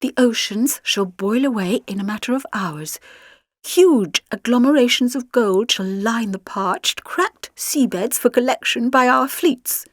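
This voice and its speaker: Woman voicing a quietly menacing possessed girl. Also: fairly high pitch, a little slow, no echo, studio quality, voice pushed up. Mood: cold boasting. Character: alien-possessed teenage girl, quietly gloating conqueror.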